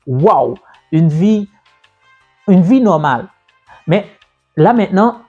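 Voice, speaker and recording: A man telling the story of his own business journey in a casual way, repeating himself and pausing with fillers. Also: fairly high pitch, mellow, husky. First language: French. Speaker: entrepreneur and business coach. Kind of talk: personal story told to camera. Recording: dull, lo-fi sound with faint music.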